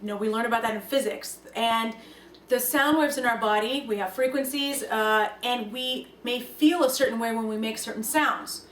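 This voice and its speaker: A young woman whose first language is Russian speaks to the camera in an earnest, reflective way, stressing her key points with some life. Her voice sits a little high. The room is echoey, she sits some way from the mic, and a faint hum runs beneath her. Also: moderate pace, recorded from a distance. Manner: earnest, reflective, lively emphasis. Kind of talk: personal talk to camera.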